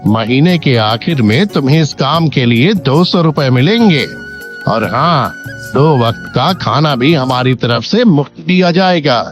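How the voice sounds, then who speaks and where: An actor playing a rich village farmer boss in a cartoon moral story, patronizing but fair and kindly. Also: male voice, mid-range voice, animated, unhurried, soft background music, close to an everyday voice. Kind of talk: Hindi animated moral story dialogue.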